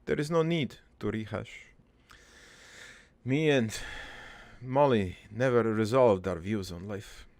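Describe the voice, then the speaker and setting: A man playing a crude but wistful old dead father in a cartoon, rambling through his life story in a halting, gravelly, improvised monologue. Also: lowish voice, darkish tone, dry recording. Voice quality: gravelly, creaky.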